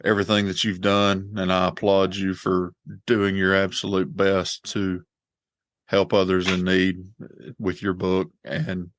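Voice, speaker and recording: A man's voice: deep voice, completely flat delivery, measured pace, fairly bright tone. A man hosting a podcast in casual conversation, plainspoken and earnest, speaking openly about faith. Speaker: podcast host.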